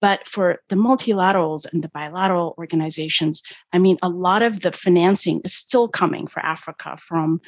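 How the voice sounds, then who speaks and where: A middle-aged woman with a lowish voice talks earnestly and emphatically as an interview guest. The sound is close and dry, with no room around it. She keeps pulling the listener in with "you know" and "right?". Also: a little fast, hardly any pauses, no room sound. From Ethiopia. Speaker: guest, development finance expert. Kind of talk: interview conversation.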